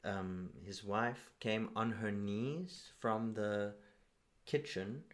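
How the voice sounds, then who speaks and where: Guy hosting a podcast, lowish voice, just chatting and thinking out loud, pretty informal. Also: extremely slow. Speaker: podcast host. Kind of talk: casual podcast conversation.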